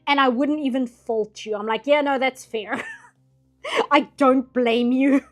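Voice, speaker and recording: A chatty woman from Britain talks while she draws, wandering from topic to topic and laughing often. Her voice sits a little high and is turning slightly hoarse late at night. Faint music plays quietly behind her. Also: steady tone, a little slow. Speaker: streamer drawing on stream. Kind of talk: casual chat while drawing.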